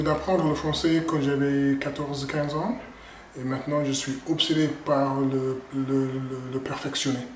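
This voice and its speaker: American man, halting French, echoey room. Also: mid-range voice, darkish tone. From United States. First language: English. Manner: halting, with frequent restarts and repeated words. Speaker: writer in residence. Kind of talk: casual self-introduction. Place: on a river barge. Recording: echoey room with faint ambient noise.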